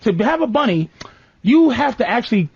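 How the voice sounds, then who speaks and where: A man hosting a comedic radio talk show, telling stories in an animated way with a raspy voice and acted-out quotes. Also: extremely high pitch, normal speed, no echo. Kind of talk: comedic radio banter with guests. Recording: dull lo-fi radio recording.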